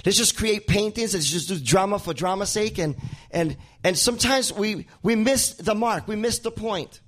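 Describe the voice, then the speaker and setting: Male pastor, Spanish accent, high voice, preaching, repeats words as he builds toward a point. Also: moderate pace, fairly bright tone. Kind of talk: sermon.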